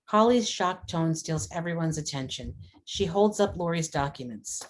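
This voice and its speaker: A woman's voice: deep voice, expressive, normal speed, a little hiss or hum. A woman reading scripted screenplay stage directions as the narrator of a dramatic table read.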